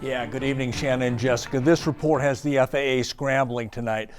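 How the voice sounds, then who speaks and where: A man's voice: medium pitch, steady tone, fairly quick, faint music behind. An American man working as an investigative reporter, delivering scripted broadcast news in emphatic, clipped phrases. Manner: emphatic, clipped sentence fragments.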